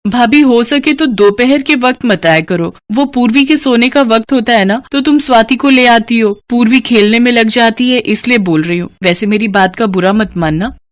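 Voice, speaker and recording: A woman voicing a rich married sister-in-law who is snobbish and pointed, in an animated moral story. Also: fairly high pitch, normal speed, few pauses, no echo, close to an everyday voice. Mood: disapproving. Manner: condescending, pointed.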